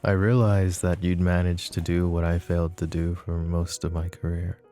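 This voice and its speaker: A man acting a flirty, possessive mafia boss in an intimate ASMR roleplay, speaking softly and unhurriedly in a teasing, world-weary way. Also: low-pitched, monotone, moderate pace, soft background music, lightly acted. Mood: wistful, confessing. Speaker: voice actor.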